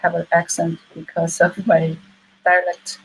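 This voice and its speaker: Chinese-accented woman guest, lowish voice, faint noise behind her on the call. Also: monotone, a little slow, gravelly, rough recording. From China, Sichuan. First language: Mandarin. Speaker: hypnotherapist guest. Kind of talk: interview.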